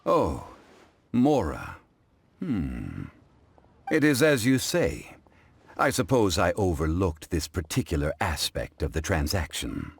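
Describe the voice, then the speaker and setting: An actor playing a calm, refined gentleman connoisseur in a video game, speaking in a formal, measured, lecturing way. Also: low voice, creaky, a little hiss or hum, heavily acted. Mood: unbothered. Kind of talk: English-dubbed video game acting.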